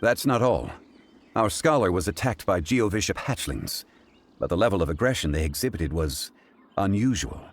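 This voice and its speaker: A composed scholarly gentleman from a video game, with a low, deep voice. He speaks formally and deliberately, calm and grave, with faint noise behind.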